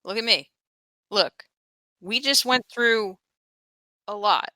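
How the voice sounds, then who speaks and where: A woman role-plays in a tabletop game. Her voice is bright and mid-pitched, earnest and conversational. The recording is very dry and close. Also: takes it slowly, dry recording. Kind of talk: casual in-game role-play.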